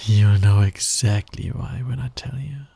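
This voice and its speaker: Man acting a slow, husky, flirtatious villain. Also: on the low side, dark tone, full character voice. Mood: sly teasing. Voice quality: breathy, husky, often dropping to a whisper. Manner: slow, teasing, deliberate delivery. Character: flirtatious, teasing male fantasy villain, dominant and sly. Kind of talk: intimate ASMR roleplay, romantic audio drama.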